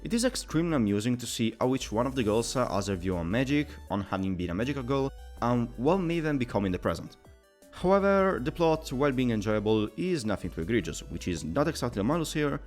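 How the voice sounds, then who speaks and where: A man hosts a scripted review, speaking quickly with a very lively, rising and falling delivery. His pitch sits in an average male range, and light music plays behind his narration. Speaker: video game reviewer, channel host.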